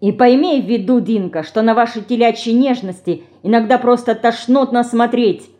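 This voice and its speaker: A woman's voice: mid-range voice, animated, measured pace, a little mellow, close to an everyday voice. A woman voicing a prim young older sister, indignant and scolding, in a storybook reading. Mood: offended.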